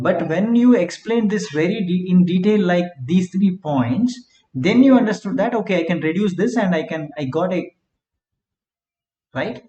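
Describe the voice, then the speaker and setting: A man lecturing a class who often checks in with "right?", repeats words and dictates notes slowly. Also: high voice, dark tone, slight background noise. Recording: echoey classroom, dull lo-fi sound.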